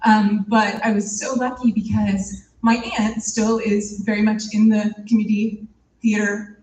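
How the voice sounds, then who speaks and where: A woman's voice: medium pitch, level tone, a little slow, a little mellow. A woman in her forties telling candid stories as a tribute on a theater stage. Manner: storytelling, candid, with fillers. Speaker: eulogy speaker. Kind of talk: personal spoken tribute. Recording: echoey stage from a distance.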